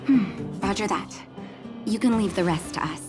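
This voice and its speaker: A woman playing a calm, composed young resistance fighter in a video game, speaking in a measured, businesslike way. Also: medium pitch, close to an everyday voice. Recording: music under the voice.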